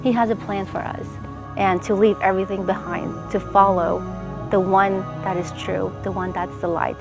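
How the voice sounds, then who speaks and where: A young woman from Pakistan giving an earnest, reflective personal testimony. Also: mid-range voice. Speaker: testimony speaker. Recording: music bed underneath.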